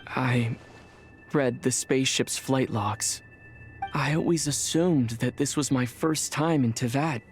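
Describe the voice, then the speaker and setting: An actor playing a thoughtful, earnest young male traveler in a video game, speaking in a measured, reflective way. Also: medium pitch, natural voice. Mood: pensive, earnest. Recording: music behind the voice.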